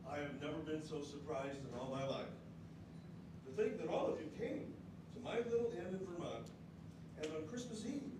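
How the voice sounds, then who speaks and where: A proud, gruff old general innkeeper, read by an older man. His voice rises and falls with exclaiming lines, heard from a distance in a boomy hall. Steady room noise sits beneath him. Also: moderate pace, lightly acted. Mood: amazed. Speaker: readers theatre performer. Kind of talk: community readers theatre reading. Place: community hall stage. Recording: echoey hall from far off.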